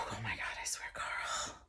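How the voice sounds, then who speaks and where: A woman playing a harried, exasperated stepfather in a theatrical monologue, telling the story in a halting, conversational way. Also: bright, forceful tone, whispered. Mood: weary, pitying. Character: harried, exasperated stepfather George recounting a Santa disaster.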